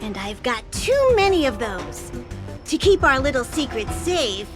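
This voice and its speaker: A woman's voice: sits high, sing-song, unhurried. A woman voicing a small mascot creature who plays a commanding, menacing gang boss in a video game. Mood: cold menace. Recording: game music behind the voice.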